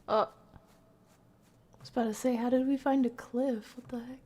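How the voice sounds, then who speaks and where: Higher-voiced woman streaming a game, slangy. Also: steady tone, measured pace. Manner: slangy, reacting aloud and thinking out loud. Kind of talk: casual live horror-game playthrough commentary, talking to chat.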